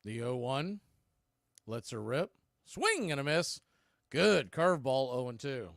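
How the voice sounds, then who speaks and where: An American man doing live baseball play-by-play in clipped, punchy calls with pauses, mixed with casual fan chat. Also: mid-range voice, very animated, gravelly, fairly bright tone, dry recording, clean studio sound.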